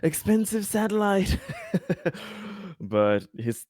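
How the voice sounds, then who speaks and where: A man playing a tabletop roleplaying game, talking partly in character in a hesitant, halting way. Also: sits high, big pitch swings, a little mellow, no room sound, clean studio sound. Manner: hesitant, halting, repeats himself.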